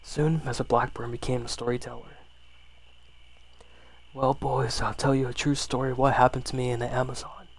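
Gravelly man reading a creepy bedtime story. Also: medium pitch, little pitch movement, moderate pace. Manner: stumbles and rereads phrases.

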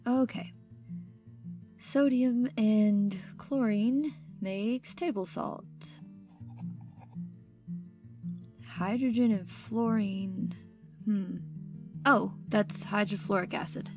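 A woman's voice: medium pitch, slow pace, music in the background. A woman playing a studious, blunt and guarded teenage schoolgirl in an audio drama.

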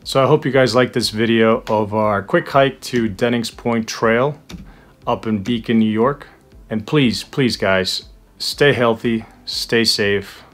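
A man's voice: mid-range voice, slow pace. A man talking to his viewers in a casual vlog, earnest and reflective, partly outdoors on a hike. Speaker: vlogger. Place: mix of indoors and outdoors on a hike.

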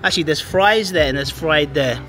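A man's voice: fairly high pitch, normal speed, sharp tone, soft background music, poor audio. A man in his forties casually narrating a travel vlog outdoors on a handheld camera, rambling with self-deprecating asides. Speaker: vlogger. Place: outdoor cafe grounds.

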